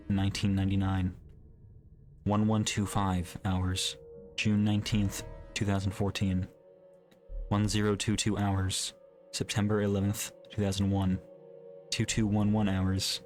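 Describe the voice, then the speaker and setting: Male narrator for scripted creepypasta, fairly low register, deliberate measured read, soft music bed underneath. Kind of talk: scripted horror story narration. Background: background music.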